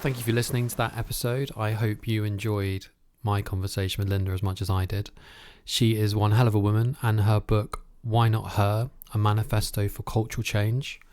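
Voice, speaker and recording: A man hosting a conversational interview podcast, thinking aloud in a halting way. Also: on the low side, flat delivery, darkish tone.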